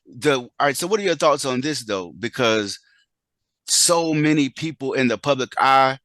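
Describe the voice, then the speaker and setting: A man hosting a casual interview, feeling his way haltingly through questions and rephrasing as he goes. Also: medium pitch, expressive, a bit bright, dry recording. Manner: halting, searches for words, rephrases repeatedly. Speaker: interview host.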